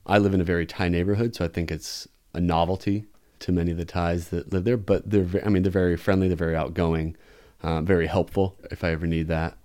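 A man's voice: low voice, flat delivery, fast talker, warm tone. A man from the United States, in his thirties, who teaches math abroad, talking casually and thoughtfully with some hesitant restarts. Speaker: guest, expat math teacher. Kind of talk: casual interview chat.

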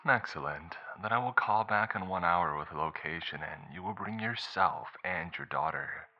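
A man voicing a taunting kidnapper in an audiobook, calm, mock-polite and menacing, with unhurried delivery. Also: sits low, lo-fi sound. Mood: cold taunting. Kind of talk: audiobook character dialogue.

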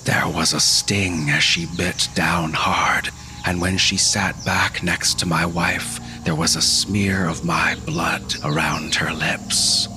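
Deep, slow, haunted husband narrating horror. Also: male voice, little pitch movement. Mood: horrified. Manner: deliberate, grave, suspenseful. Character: haunted husband recounting a supernatural horror, grim and shaken. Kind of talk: dramatic scripted narration. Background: music.

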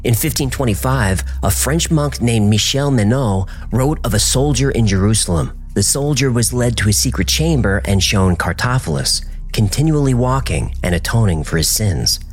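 A man's voice: lively intonation, moderate pace, few pauses. Dramatic male documentary narrator. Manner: dramatic storytelling with wide pitch swings.

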